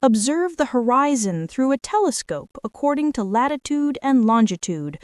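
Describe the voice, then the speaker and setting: A woman's voice: high-pitched, sing-song, normal speed, a little mellow, dry recording, studio quality, voice pushed up. A woman voicing a peremptory, bad-tempered male ship's captain in a children's storybook. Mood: commanding, irritable. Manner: peremptory, brief commands.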